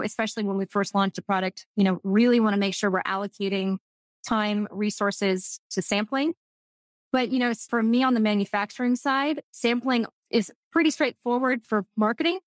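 A woman's voice: mid-range voice, no echo. Texan woman guest, earnest and thoughtful, dry sound. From Texas. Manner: thoughtful, earnest, some restarts. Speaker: guest, food manufacturing executive. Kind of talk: casual interview chat.

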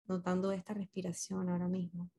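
A woman leading a guided meditation as a facilitator, speaking gently and unhurriedly with pauses between short instructions. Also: on the low side, completely flat delivery.

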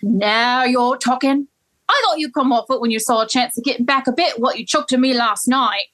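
A woman's voice: a little high, fairly bright tone, natural voice, rough recording. A woman playing a defiant flower girl. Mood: cheeky. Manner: indignant, quick to protest. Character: young cockney flower girl, defiant and touchy. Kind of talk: amateur play reading.

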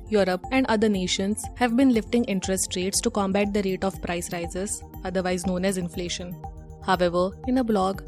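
A woman's voice: medium pitch, darkish tone. A woman with an Indian accent presenting a scripted news bulletin as a newsreader.

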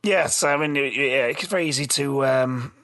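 A man hosting a casual podcast chat in a rambling, halting way, his voice a little lost. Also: medium pitch, vocal fry, fairly bright tone, soft background music. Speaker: podcast host.

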